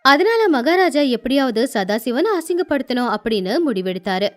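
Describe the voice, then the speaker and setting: A woman narrating a moral folktale as an animated storyteller. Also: fairly high pitch, normal speed, faint music behind.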